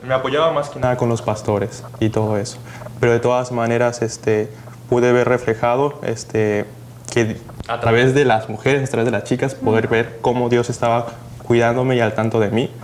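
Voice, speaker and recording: A young man from Mexico speaking as a student guest on a podcast, his voice at an ordinary pitch for a man. He tells his story in a relaxed way with many pauses and fillers, over a faint hiss of background noise. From Mexico, Jalisco. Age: young adult.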